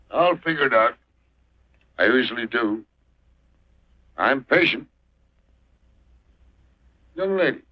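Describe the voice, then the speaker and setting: An actor playing a shrewd, weathered old American marshal in a 1940s western film, with a folksy, deliberate, drily menacing way of talking. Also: male voice, medium pitch, dry recording. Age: older. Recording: dull muffled old film soundtrack.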